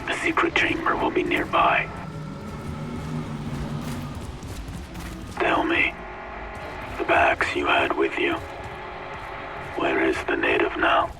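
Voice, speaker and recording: A man's voice: very deep voice, vocal fry, full character voice. A man playing a cryptic, solemn altered wanderer in a video game, speaking in a portentous, formal way. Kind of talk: video game cutscene acting.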